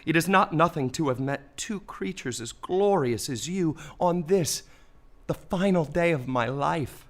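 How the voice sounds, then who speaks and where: High-pitched, theatrical dying believer. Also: male voice, lively intonation, a little slow. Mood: joyful wonder. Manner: melodramatic, exclamatory, wailing and gushing by turns. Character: dying man of faith in desert, theatrical, swings panic to wonder. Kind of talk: comic audio play acting.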